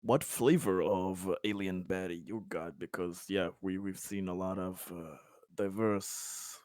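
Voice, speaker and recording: A man's voice: deep voice, fairly even intonation, unhurried, a little mellow, no echo, studio quality. A man playing a tabletop roleplaying game, talking in casual, colloquial, wry banter.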